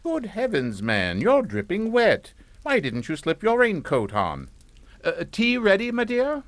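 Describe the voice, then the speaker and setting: Coldly commanding patriarch, swooping intonation. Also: male voice, sits high, huge pitch swings, measured pace, exaggerated voice. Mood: blandly reassuring. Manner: clipped, authoritative, matter-of-fact. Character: coldly commanding English father, calm, menacing, middle-aged patriarch. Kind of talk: suspense radio drama acting.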